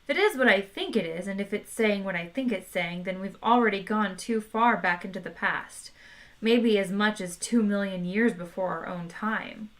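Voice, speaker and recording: A woman voicing a brisk, harried male pilot, speaking louder and with urgency. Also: medium pitch, natural voice. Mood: brisk urgent.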